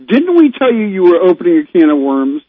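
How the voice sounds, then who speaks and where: A man's voice: high-pitched, big pitch swings, a little fast, slightly rough, dry recording. A man calling into a talk show, speaking in an exasperated, emphatic way. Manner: exasperated, emphatic, given to sighs. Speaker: caller. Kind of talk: casual phone chat. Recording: tinny phone line.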